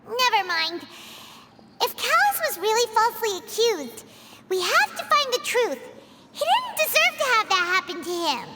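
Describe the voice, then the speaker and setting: A woman voicing a tiny, childlike fairy companion in a video game, squeaky, excitable and blunt, speaking of herself in the third person. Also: moderate pace, big-room sound, very noisy. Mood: earnest, caring. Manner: refers to self in third person, exclamatory. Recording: loud music behind.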